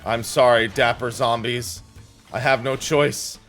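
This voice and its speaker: Man putting on a pompous, drawling refined gentleman. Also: medium pitch, fairly even intonation, measured pace, soft background music. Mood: mock refined.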